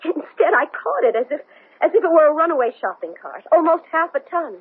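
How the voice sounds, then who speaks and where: An actress playing a desperate, intense woman astronaut in a 1970s radio drama, speaking urgently with a husky voice. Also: high-pitched, very animated, a little fast, phone-quality sound. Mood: insistent. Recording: thin, band-limited 1970s radio broadcast.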